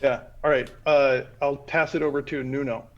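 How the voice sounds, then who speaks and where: A man's voice: medium pitch, steady tone, normal speed, a bit bright. A man chairing an online meeting, taking questions and passing the floor along. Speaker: meeting facilitator. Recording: dull, slightly noisy call audio.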